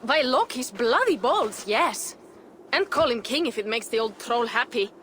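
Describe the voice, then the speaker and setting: Game shieldmaiden, female: blunt, bold, earthy, pitched a little high. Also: expressive, moderate pace, a bit bright, a little hiss or hum. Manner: frank, earthy. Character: blunt, bold Viking warrior woman. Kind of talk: dramatic cinematic game acting.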